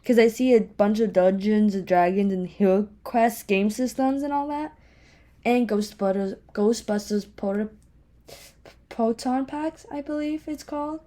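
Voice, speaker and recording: A woman's voice: mid-range voice, a little slow, mellow. A young woman podcast host with a speech impediment, chatting casually on her own in a candid, self-deprecating, rambling way. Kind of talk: casual solo chat, rambling. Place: home office.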